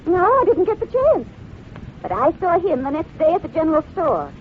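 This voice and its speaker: A woman's voice: extremely high pitch, wildly swooping pitch, normal speed, exaggerated voice. A woman playing a nosy, self-righteous, suspicious small-town wife in an old-time radio drama. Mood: gossipy, emphatic. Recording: dull old radio recording, faint noise.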